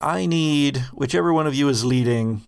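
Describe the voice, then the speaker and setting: A man hosting a tabletop horror game as game master, narrating scenes in a measured, descriptive way. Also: fairly high pitch, vocal fry, clear, resonant tone.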